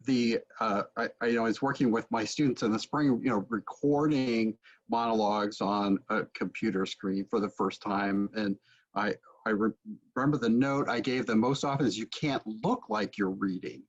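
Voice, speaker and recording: A man in his forties interviewing conversationally over a video call, halting as he thinks aloud. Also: on the low side, fairly even intonation, husky. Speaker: interviewer and drama teacher. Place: book-lined home room, video call. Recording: dull lo-fi video call audio.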